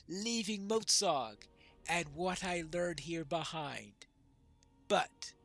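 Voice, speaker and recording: A man's voice: high-pitched, a bit bright, no room sound, full character voice. A man voicing a solemn, resolute dwarf prince in a fantasy game, with formal, declamatory delivery. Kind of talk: dramatic reading of fantasy game dialogue.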